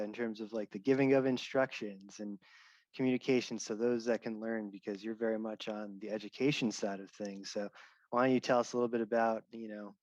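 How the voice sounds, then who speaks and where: Male moderator, relaxed and hedging, dry and lo-fi call sound. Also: mid-range voice, dry recording. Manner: relaxed, hedging questions with fillers. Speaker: panel moderator. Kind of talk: moderating an online panel. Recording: dry, dull video-call audio.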